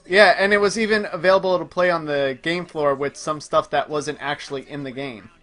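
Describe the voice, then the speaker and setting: A man's voice: fairly high pitch, steady tone, fairly quick, bright, forceful tone. A man giving casual gameplay commentary as he plays, explaining details a little pedantically. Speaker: host playing the game.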